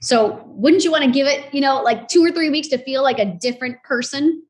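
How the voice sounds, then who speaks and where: A woman of forty with a fairly high voice speaks as an interviewed fitness instructor. She is informal and conversational, stressing her points in an encouraging way. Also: brisk. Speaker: podcast guest, fitness founder and instructor.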